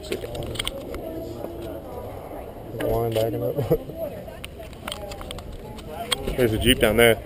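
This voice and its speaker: A man's voice: mid-range voice, wildly swooping pitch, extremely slow, pauses often, background noise. A man on a lighthouse tower, giving casual running commentary and pointing out sights in short remarks into a body-worn camera. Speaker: tourist filming with a wearable camera. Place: inside and atop a lighthouse tower. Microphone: body-worn action camera.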